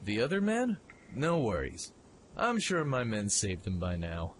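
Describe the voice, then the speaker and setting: A man's voice: medium pitch, wildly swooping pitch, unhurried, slight background noise. A man playing a cocky, self-reliant young mech pilot in a radio play, with a brash, swaggering delivery. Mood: breezy, confident.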